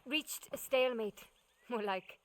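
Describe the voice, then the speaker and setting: Woman playing terse, higher-voiced heroine Haruna. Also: a little slow, lightly acted. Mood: determined. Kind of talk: video game cutscene acting.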